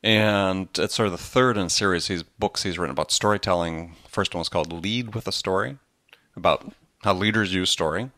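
A man's voice: sits low, brisk, bright, forceful tone, no echo, clean studio sound. A man speaking as a podcast host, explaining things conversationally in a rambling way with frequent asides. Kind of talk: conversational book discussion on a podcast.

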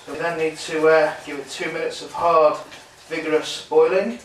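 A man's voice: a little high, steady tone, moderate pace, slight background noise. A man in his thirties hosting a casual home cooking show, chatting while he works in his kitchen. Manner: chatty, talks while working at the stove. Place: home kitchen at night, indoors. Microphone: camera mic from across the room. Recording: roomy, distant camera-mic sound.

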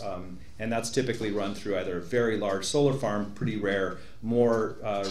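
A low-voiced man answers a question on a panel in a large meeting room. He explains carefully but hesitantly, restarting his phrases often. The sound is roomy with a faint hum.

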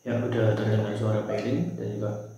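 A man's voice: fairly low pitch, steady tone, brisk, background noise. A young man presenting an informal step-by-step product tutorial in a small bare room. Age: young adult. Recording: very echoey, reverberant room.

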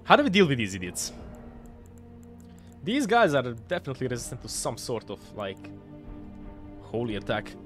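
A young man with a Croatian accent, excitedly commenting on his game live, swearing at bosses and chatting with viewers through a headset. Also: mid-range voice, moderate pace, long pauses, faint music behind. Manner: excitable, swears and laughs while fighting bosses. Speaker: game streamer. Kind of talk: live gameplay commentary reading chat. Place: home streaming room, indoors.

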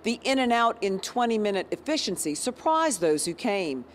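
A woman reporting live for a local TV news station, her delivery polished and measured. She speaks into a handheld mic inside a large convention hall, with faint ambient noise behind her. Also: medium pitch, sing-song.

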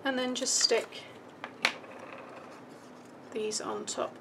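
A woman's voice: a little high, steady tone, extremely slow, creaky, noisy background. A British woman giving a casual, chatty step-by-step craft tutorial, thinking aloud as she goes, her voice starting to give out. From United Kingdom. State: starting to lose voice. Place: indoors at a craft table.

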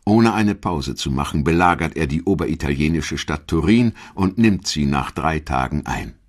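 A man narrating a scripted history documentary in a measured, dramatic storytelling manner. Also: extremely low pitch, expressive.

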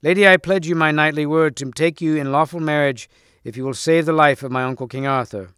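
A man's voice: a little high, a little fast, a bit bright, smooth, no room sound, clean studio sound, lightly acted. A man voicing a loyal, gallant knight from a legend, earnest and chivalrous in archaic speech. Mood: quiet, resolute.

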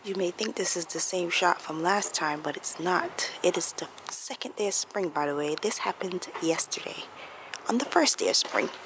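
A woman's voice: lowish voice, moderate pace. A young woman in her twenties chatting casually to viewers in a vlog, laughing often, recorded on a camera mic at arm's length. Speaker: vlogger. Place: small bedroom and outdoors on city streets. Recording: camera audio with clear background noise.

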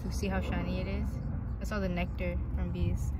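A woman's voice: fairly low pitch, level tone, fairly quick. Young woman chatting, noisy outdoor recording. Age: young adult. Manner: informal, frequent "like". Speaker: vlogger. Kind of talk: casual chat while filming. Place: outdoors in an open sunflower field. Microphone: handheld phone, often at arm's length.

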